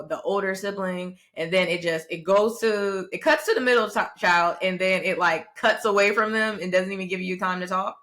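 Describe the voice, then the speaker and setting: A woman's voice: lowish voice, a bit bright. A woman hosting an interview podcast, asking chatty questions with some restarts. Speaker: podcast host.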